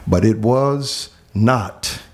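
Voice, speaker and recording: A man who is a pastor from Miami, earnestly telling his life story in conversation, pausing often on fillers and repeated words. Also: lowish voice. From Miami, Florida. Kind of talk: reflective personal storytelling in conversation.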